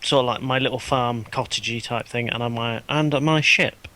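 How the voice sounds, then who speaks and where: A man in a casual podcast chat, eager and quick to jump into the conversation. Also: medium pitch, steady tone, sharp tone.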